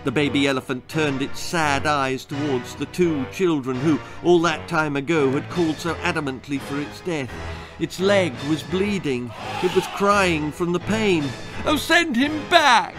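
A man in his sixties reads a children's tale in a theatrical, expressive and deliberate way. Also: on the high side, over music. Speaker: author reading his own stories. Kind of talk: dramatic children's storytelling, scripted narration. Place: small book-lined room indoors.

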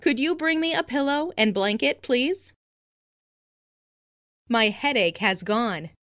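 Female language-lesson narrator, higher-pitched and unhurried, enunciating each practice phrase. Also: animated, fairly bright tone, no echo, studio quality. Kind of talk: scripted reading of English practice phrases.

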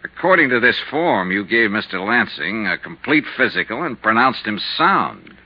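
An actor playing a tough, wry American insurance investigator in a clipped 1950s radio detective style. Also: male voice, on the low side, sing-song, normal speed, lo-fi sound. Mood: probing. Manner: clipped, hard-boiled, matter-of-fact. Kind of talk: 1950s radio detective acting, first-person narration. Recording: band-limited 1950s radio recording.

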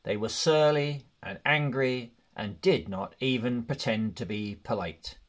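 A man reads a story aloud as an audiobook narrator. He takes his time, with a very unhurried pace and deliberate phrasing, at a medium pitch. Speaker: audiobook reader.

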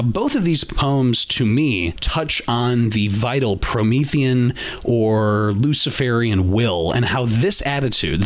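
A man hosting a podcast, delivering a scripted monologue in an earnest, emphatic way. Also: medium pitch. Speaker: podcast host.

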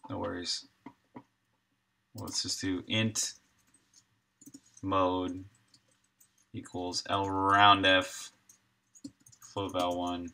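A man's voice: low-pitched, little pitch movement, very slow, gravelly, long pauses, bright, forceful tone. A middle-aged man thinking aloud in short, laid-back fragments as he live-streams his coding work. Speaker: game developer and live streamer. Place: indoor room at a desk, streaming while coding.

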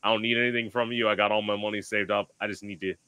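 A man from South Florida hosts a podcast over a video call at home. His voice sits a little low but rings bright and forward. He rambles freely, piling on fillers and quick asides. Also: flat delivery, clear, resonant tone, no room sound. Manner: rambling, lots of fillers and laughs. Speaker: podcast host. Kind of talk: casual video-call chat. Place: home room with lamps.

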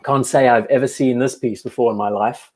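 A man's voice: mid-range voice, fairly even intonation, fairly quick, darkish tone. A man hosting a live watch stream, chatting casually and thinking aloud as he comments on viewers' photos, often restarting his sentences. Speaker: watch show host.

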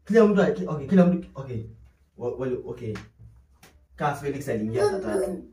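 A young man with a Kenyan accent talks casually in mixed Swahili and English. His pitch swings in a lively way, and he is picked up from across an echoey room with noise behind him. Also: fairly quick, distant mic. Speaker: boyfriend on a phone call. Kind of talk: casual phone conversation mixing Swahili and English. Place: living room. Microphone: camera across the room.

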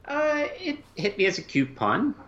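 A man's voice: high-pitched, sing-song, a little slow, a bit bright, lo-fi sound. A man in casual conversation as a podcast co-host, hesitant and thinking aloud as he goes. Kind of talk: casual chat.

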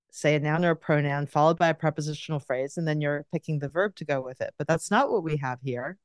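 Low smooth woman's voice, careful grammar explainer on a video call, dry sound. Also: no room sound. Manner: patient, careful step-by-step explaining. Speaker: guest grammar expert.

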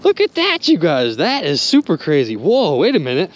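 A young man vlogging from a small boat on a river, exclaiming excitedly as he talks to his viewers. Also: a little high, normal speed. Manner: animated, exclaiming, chatty with viewers. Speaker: magnet fishing vlogger. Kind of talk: excited casual narration to viewers.

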